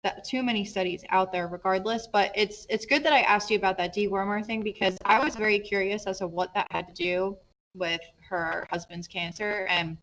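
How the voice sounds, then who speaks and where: A woman hosting a casual podcast interview, whose first language is Polish, chatty and quick to laugh. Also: medium pitch, fairly even intonation, fairly bright tone, poor audio. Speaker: podcast host.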